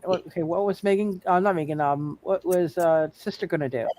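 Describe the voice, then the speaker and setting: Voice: medium pitch, brisk, mellow, no room sound. A game master narrating a tabletop roleplay casually, often repeating words and phrases while working out what happens.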